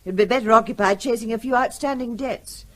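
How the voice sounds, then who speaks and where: An actress playing a brisk, nagging middle-aged wife and mother in a radio drama, bossy, practical and pointed. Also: fairly high pitch, fairly bright tone, close to an everyday voice. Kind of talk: naturalistic radio drama acting.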